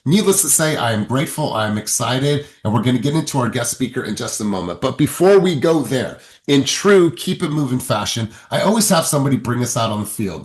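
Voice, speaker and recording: A man hosting a group coaching session on a video call, upbeat and hyping up his audience with repeated phrases. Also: medium pitch. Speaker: sales coach and session host.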